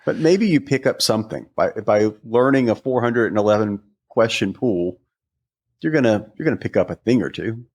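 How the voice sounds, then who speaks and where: A man's voice: on the low side, animated, moderate pace. A man speaking casually as a podcast co-host, adding conversational commentary.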